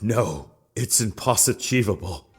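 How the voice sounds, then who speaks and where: A man's voice: fairly low pitch, expressive, breathy edge, soft background music, exaggerated voice. A man acting a pompous businessman witness who easily cracks into panic, in an exaggerated video game drama style. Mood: shaken. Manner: slow, theatrical, stammering under pressure.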